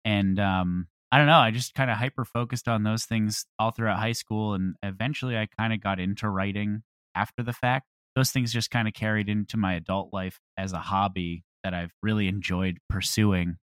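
A man's voice: fairly low pitch, fairly even intonation, normal speed, a bit bright, clear, resonant tone, no room sound. A man who acts and writes, talking casually in an interview from home. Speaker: voice actor and writer.

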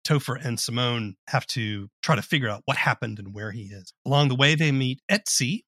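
A man's voice: mid-range voice, brisk, sharp tone, no room sound, studio quality. A man hosting an interview podcast, posing questions and giving summaries in a conversational presenting style. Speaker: podcast host.

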